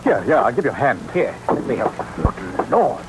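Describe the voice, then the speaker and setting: Guy playing brisk kindly british police inspector, excitable, voice jumps around a lot, old radio show w some hiss. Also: mid-range voice, lightly acted. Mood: startled, urgent. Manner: brisk, exclamatory. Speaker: actor in a radio thriller. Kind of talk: old radio drama acting.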